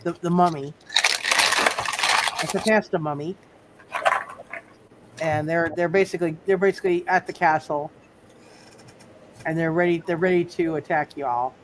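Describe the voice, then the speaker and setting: A man acting as game master of a superhero roleplay, halting and often repeating his phrases as he improvises. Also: fairly high pitch, steady tone, background noise. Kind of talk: live tabletop superhero roleplay, improvised narration.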